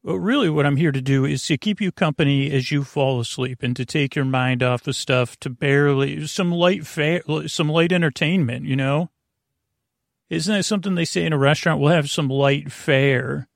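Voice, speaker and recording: A man hosting a bedtime podcast in a creaky voice, meandering and halting through soothing, rambling tangents. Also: a little high, dry recording, clean studio sound. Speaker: podcast host.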